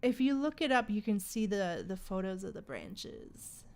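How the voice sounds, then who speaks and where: A woman's voice: mid-range voice, very animated, moderate pace. A woman chatting casually on a gaming livestream, thinking aloud in an informal, musing way. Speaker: livestream host.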